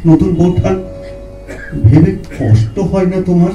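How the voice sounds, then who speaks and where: An actor playing a dying old poet in an audio drama, tender and anguished, speaking slowly and haltingly in a poetic manner. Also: male voice, high voice, mellow, lo-fi sound. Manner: slow, halting, repeats words, poetic. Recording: roomy echo, distant mic, music underneath.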